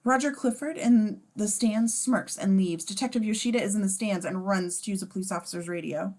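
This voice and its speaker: A woman with a middling pitch reads out screenplay action lines. She is casual about it and slips into sarcastic commentary on the script. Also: a little fast, a little mellow. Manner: sardonic, casual. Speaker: stage-direction reader. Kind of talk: amateur table read narration with sarcastic asides.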